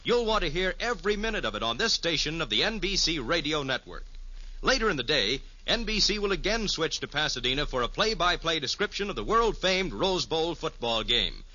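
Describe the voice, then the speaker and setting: Male network announcer, American, lively scripted 1950s delivery, crisp forceful edge, muffled old radio sound. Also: on the high side, brisk. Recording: dull old radio recording.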